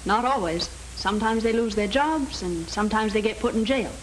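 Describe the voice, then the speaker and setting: An actress playing a sweet, playful young American younger sister in a 1930s film, on a hissy, crackly soundtrack. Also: female voice, medium pitch, lively intonation, brisk, close to an everyday voice. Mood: playful, caring. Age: young adult.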